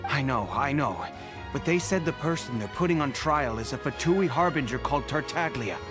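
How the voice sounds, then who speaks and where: A man's voice: medium pitch, lively intonation, normal speed, over music, close to an everyday voice. A man playing an earnest, worried guard in a video game, speaking with urgency. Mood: perplexed. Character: earnest, worried male guard bringing news.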